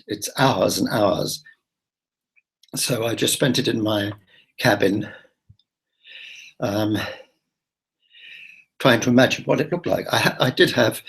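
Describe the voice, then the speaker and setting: A man with a gravelly, creaky edge to his voice tells his travel diary in a rambling, confiding way. His tone is husky rather than clear, at a middling pitch. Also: poor audio. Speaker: travel vlogger.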